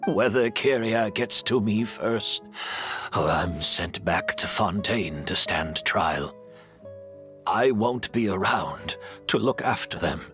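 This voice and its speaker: An actor playing a gentle, weary master in a video game drama, soft-spoken and wistful, with music behind him. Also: male voice, fairly low pitch, animated, slow pace. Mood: wistful, earnest. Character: gentle, weary, resigned flower-growing master, a man.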